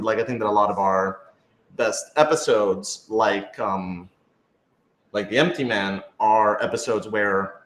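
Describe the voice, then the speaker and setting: A man talking casually and thoughtfully in a group discussion as a show's creator, hedging and often saying "like". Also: on the low side. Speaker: podcast creator and writer in a group Q&A. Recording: roomy, echoey room sound.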